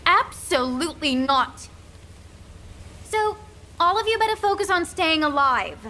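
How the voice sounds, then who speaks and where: A high, cutting female voice for a young game fighter. She sounds defiant, blunt and haughty, with a sharp tongue. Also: lively intonation, unhurried, heavily acted. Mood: scornful, defiant. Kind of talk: scripted game dialogue.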